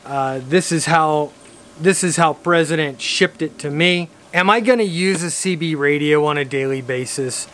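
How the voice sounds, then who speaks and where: Casual vlog reviewer: American male, 50s, slightly high-pitched, talking to camera. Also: normal speed, fairly bright tone. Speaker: radio hobbyist vlogger and reviewer. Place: outdoors in a driveway by a vehicle, some parts inside a car.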